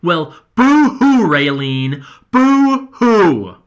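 A man's voice: medium pitch, animated, moderate pace, pushed and bright, highs cut off. A man voicing a frightened, spiteful boy who taunts and whines in an audiobook. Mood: taunting, furious.

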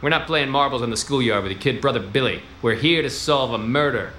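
An actor playing a cocky, bossy 1920s private detective in a noir radio comedy, with clipped wisecracking delivery. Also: male voice, medium pitch, fairly quick, sharp tone, a little hiss or hum, natural voice. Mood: cocky, scolding.

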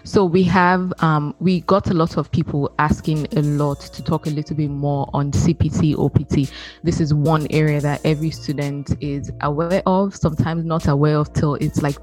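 Low-voiced Nigerian-born woman co-host. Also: fairly even intonation, normal speed, a little mellow, soft background music. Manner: easygoing, hesitant, with many "um"s and "like"s. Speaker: podcast co-host. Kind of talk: casual chat.

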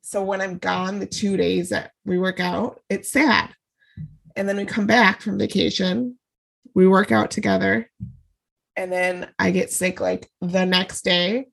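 A young woman co-hosting a podcast while getting over a cold, her voice nasal and stuffed up. A gravelly fry runs through her speech, and she stays bubbly and quick to laugh. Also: mid-range voice, little pitch movement, poor audio. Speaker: podcast co-host. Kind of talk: casual chat.

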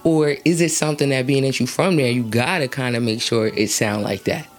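A woman's voice: a bass voice, a little fast. A woman hosting a casual interview, chatty and slangy, asking long, rambling questions and laughing easily. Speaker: interview host.

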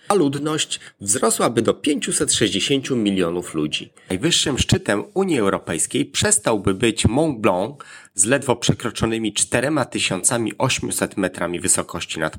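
A man presenting a scripted explanatory monologue in a measured, formal manner, setting out arguments point by point. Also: lowish voice, fairly bright tone, breathy edge.

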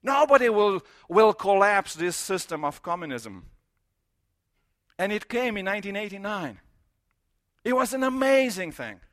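A man's voice: high-pitched, very animated, unhurried, long pauses, a bit bright. A middle-aged man from Romania, preaching a sermon in English. He speaks emphatically, building through repeated phrases and rhetorical questions.

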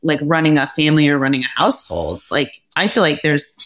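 Eager woman co-hosting, lowish voice. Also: sing-song, brisk, fairly bright tone, poor audio. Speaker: podcast co-host. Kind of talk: casual podcast conversation.

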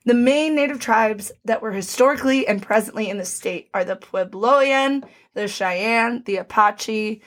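A woman with a bit of a hoarse edge and a voice pitched a touch high. She co-hosts a casual podcast, trading puns with her partner and reading background facts aloud in a steady, chatty way. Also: unhurried, few pauses. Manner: playful, punning, reads lists of facts aloud. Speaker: podcast co-host.

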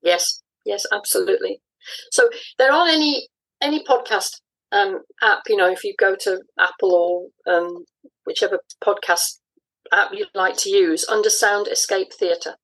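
A woman's voice: medium pitch, moderate pace, a bit bright, poor audio. A woman speaking informally as a guest writer in an interview.

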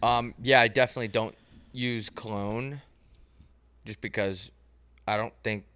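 A man's voice: lowish voice, steady tone, measured pace, a bit bright, clean tone, no room sound. A young man co-hosting a podcast with crude, joking banter and a slightly congested voice. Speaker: podcast co-host. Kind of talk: casual chat.

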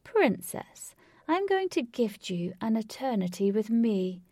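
A woman voicing a pompous male villain in a storybook, theatrical and gloating, booming out slow taunts. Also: big pitch swings, a little mellow, husky, dry recording, clean studio sound, exaggerated voice. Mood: grandiose.

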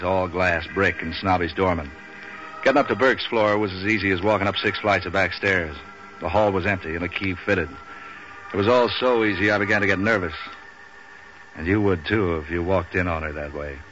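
An actor playing a street-wise, cynical hard-boiled hero with deadpan wisecracks in 1940s radio noir. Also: male voice, deep voice. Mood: cynical, brooding. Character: Pat Novak, street-wise cynical male hard-boiled boat renter. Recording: muffled, hissy old radio recording.

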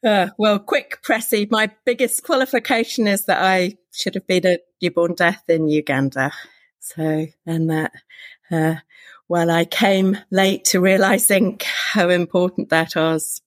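A woman's voice: on the low side, steady tone, unhurried, dry recording. A woman guest on a podcast, talking about her career in a reflective, enthusiastic way. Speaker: guest professor. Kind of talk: conversational podcast answer.

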